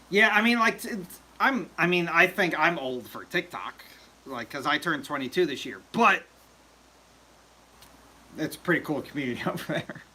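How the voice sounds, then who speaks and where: Man, livestream host, casual chat, rambling, slightly high, very bright. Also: lively intonation, measured pace, long pauses, slightly rough. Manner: rambling, filler-heavy, joking.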